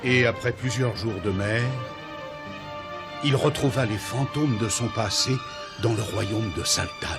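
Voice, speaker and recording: A man's voice: mid-range voice, measured pace, lightly acted. A man narrating a fairy tale cartoon as a scripted storyteller. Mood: solemn storytelling. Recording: music behind the voice.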